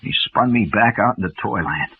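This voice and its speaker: A man's voice: fairly low pitch, expressive, fairly quick, husky. An American man telling a comic first-person story for radio in a theatrical, mock-epic, dramatic manner. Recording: tinny old radio recording with noise.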